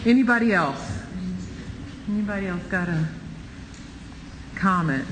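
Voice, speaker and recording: High-pitched man, lively Bible study leader, roomy hall reverb, light noise. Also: measured pace. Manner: preachy, animated, exclaiming. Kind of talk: church Bible study talk.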